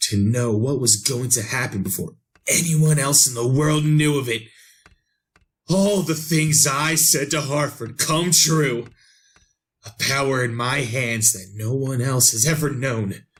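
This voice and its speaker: An actor playing an excitable, power-hungry madman in a halting, melodramatic 1930s radio drama style. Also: medium pitch. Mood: exultant. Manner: melodramatic, halting, breathless exclamations. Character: excitable, power-hungry madman narrating his eerie tale.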